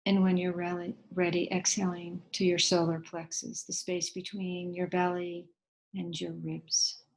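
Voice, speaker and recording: A woman with a slightly low voice guides a meditation. She speaks gently and slowly in short, soothing phrases. Long pauses separate her instructions. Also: completely flat delivery, low-quality recording.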